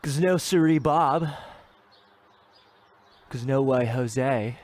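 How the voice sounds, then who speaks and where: A man playing an awkward, folksy, cocky teenage boy in an old-time radio comedy, with halting gee-whiz delivery. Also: medium pitch, darkish tone. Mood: breezy.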